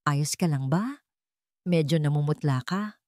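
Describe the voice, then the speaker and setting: A woman voicing a calm, menacing man, a doting but dangerous partner in a storybook, controlled and softly probing. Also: low voice, measured pace, no echo, studio quality.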